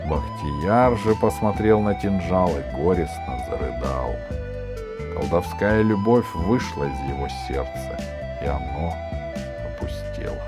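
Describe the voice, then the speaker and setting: Slow, low-voiced male storyteller. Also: expressive. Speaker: audiobook reader of folk tales. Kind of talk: scripted literary storytelling, Arabian tale. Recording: music behind the reading. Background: instrumental music with guitar.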